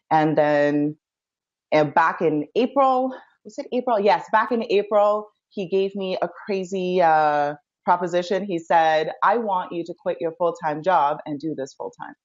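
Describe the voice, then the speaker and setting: A woman from India talks as a guest on a casual interview show, telling her story with upbeat enthusiasm. Her voice sits fairly low, and the sound is dull and lo-fi. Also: a little mellow. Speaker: guest, life coach.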